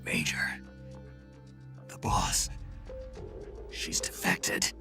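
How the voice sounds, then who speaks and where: A man playing a stoic, weary wounded soldier in a video game, with a strained gravelly voice, weak and short of breath. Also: extremely slow, over music. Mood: pained, faint. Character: badly wounded male special-forces soldier, stoic and weary. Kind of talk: cinematic game voice acting.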